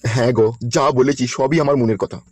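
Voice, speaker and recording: A man playing a scheming, lecherous politician in a comedy cartoon, crude and smooth-talking, with a West Bengal Bengali accent. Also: medium pitch, moderate pace, very noisy, close to an everyday voice. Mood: sweet-talking, flirtatious.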